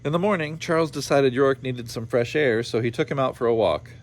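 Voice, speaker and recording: A man's voice: mid-range voice, a little fast. A man reading a children's story aloud in a playful style, with informal asides. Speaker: storybook reader. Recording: clear background noise behind the voice.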